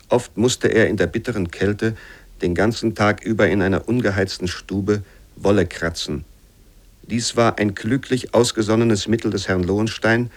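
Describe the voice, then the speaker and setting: Male narrator for literary radio drama, lower register, measured and formal delivery. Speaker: narrator reading literary prose aloud.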